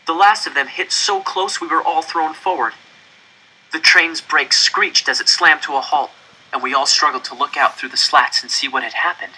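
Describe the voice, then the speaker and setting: A man reading a first-person story aloud in an expressive, deliberate, dramatic way. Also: a little high, slightly rough, poor audio. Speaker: audiobook reader.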